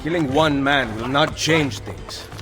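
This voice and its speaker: A man's voice: medium pitch, a little slow, close to an everyday voice. Game casting: male actor as resolute Italian Renaissance assassin, dry wit, proud and defiant, delivered over music. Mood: impassioned. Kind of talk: dramatic video game acting. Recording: music behind the dialogue.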